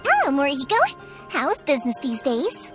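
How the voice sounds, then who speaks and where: A woman's voice: sits high, huge pitch swings, moderate pace, over music. A woman playing a cheerful, eager young fireworks maker in a video game, chatty and earnest. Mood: surprised, friendly. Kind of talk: animated video game voice acting.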